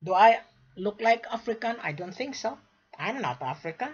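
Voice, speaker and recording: A woman's voice: medium pitch, animated, moderate pace, slightly rough, highs cut off. A woman born in the Philippines, giving an emphatic, lecturing sales talk to traders and repeating her points. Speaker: gold sales agent. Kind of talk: direct-to-camera sales talk.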